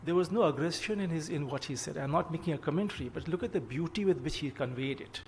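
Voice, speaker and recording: An older man giving a formal keynote, speaking in a courteous, measured way with frequent pauses. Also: a little high, a little hiss or hum. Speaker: keynote speaker, retired judge.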